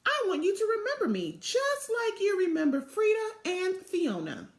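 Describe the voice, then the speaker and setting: Woman doing an eager, high-pitched little girl. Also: huge pitch swings, moderate pace, heavily acted. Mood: earnest. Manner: earnest, proud. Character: eager little first-grade girl craving attention. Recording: echoey room sound.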